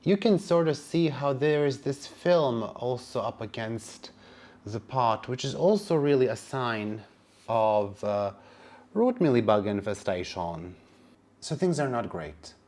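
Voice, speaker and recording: A man's voice: on the low side, a little mellow. A man whose first language is Serbian, narrating a casual plant vlog in a chatty, wry manner with sassy asides. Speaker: plant vlogger.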